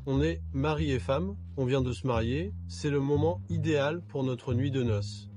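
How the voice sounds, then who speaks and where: An actor dubbing a calm, composed young husband who teases his wife. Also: mid-range voice, moderate pace, natural voice. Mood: coaxing. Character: calm, secretly rich young husband, teasing.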